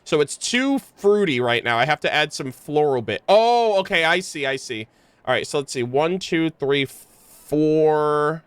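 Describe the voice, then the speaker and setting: A man doing casual, slangy live game commentary, excitable and swearing freely, sometimes reading game text aloud. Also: on the high side, unhurried, clean tone, no echo. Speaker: game streamer.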